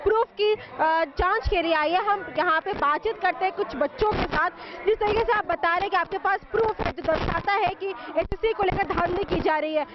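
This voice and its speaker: A young woman field reporter speaking into a handheld mic outdoors at a crowded protest, in a measured reporting tone. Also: very high voice. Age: young adult. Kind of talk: street news report. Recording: dull sound, crowd behind.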